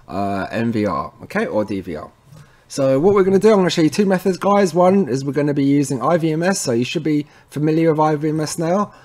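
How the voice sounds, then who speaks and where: A man presenting a technical tutorial in an informal way, talking viewers through each step and often checking in with a quick tag word. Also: mid-range voice, darkish tone. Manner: informal, addresses viewers as guys, frequent tag okay. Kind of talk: casual screen-recorded how-to walkthrough.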